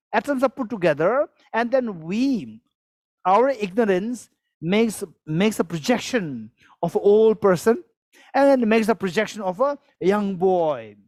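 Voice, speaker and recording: A man's voice: high-pitched, no room sound. A man, giving a Buddhist teaching to a live audience, repeating phrases, asking for shows of hands and chuckling as he explains. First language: Tibetan. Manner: repetitive, questions the audience, chuckles while explaining.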